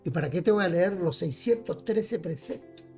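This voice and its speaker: Mellow-voiced preacher over faint music. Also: medium pitch, animated, moderate pace. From Chile. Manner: emphatic, direct address to listeners, repeats phrases. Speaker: evangelical preacher. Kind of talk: informal Bible teaching.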